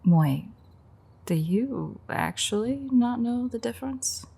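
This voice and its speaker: A husky, breathy vampire woman played by a voice actress speaks low and unhurried in an intimate audio roleplay. Her delivery is wry and teasing, with a dark predatory edge and a gravelly creak at the bottom of the voice. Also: animated, heavily acted. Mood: teasing, explaining. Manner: wry, foul-mouthed, teasing.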